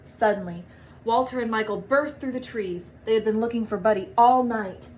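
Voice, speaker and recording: Female storybook reader: higher register, expressive, emphatic phrasing, noisy recording. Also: moderate pace. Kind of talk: children's storybook read-aloud.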